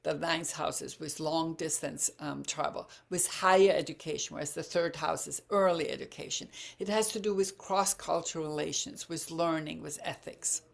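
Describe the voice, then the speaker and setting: A woman, her voice low and gravelly with fry. She explains things at an easy, halting pace, often pausing on fillers and restarting her sentences. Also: fairly even intonation. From Germany or Croatia, bilingual German-Croatian. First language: German. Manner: hesitant, many fillers and restarts. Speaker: astrology speaker. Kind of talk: informal talk with slides.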